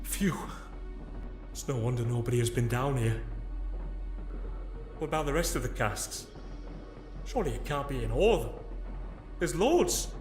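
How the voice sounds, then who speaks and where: An actor playing an excitable, harried father fighting to survive in an audio drama, with an urgent manner. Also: medium pitch, moderate pace, pauses often, lightly acted. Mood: uneasy. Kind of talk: full-cast audio drama acting. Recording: echoey room with music behind.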